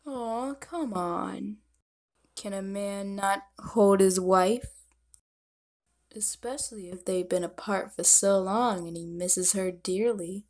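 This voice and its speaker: A woman plays a lovestruck wizard of darkness in an animated fan dub. He speaks slowly and softly, teasing and tender with his sweetheart. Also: mid-range voice. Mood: teasing, affectionate.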